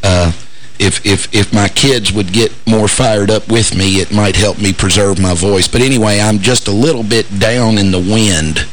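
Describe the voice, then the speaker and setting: A man hosting a radio talk show, hoarse and raspy from shouting, joking wryly and stumbling into fillers and restarts. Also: fairly low pitch, fairly even intonation, normal speed, slight background noise, poor audio. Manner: wry, joking asides, frequent fillers and restarts. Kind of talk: casual talk radio banter.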